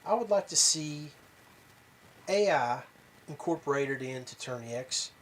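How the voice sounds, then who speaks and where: A man's voice: fairly high pitch, lively intonation, unhurried. Man talking casually over background noise. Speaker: podcast host. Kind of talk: casual rambling talk to viewers about tournament fishing.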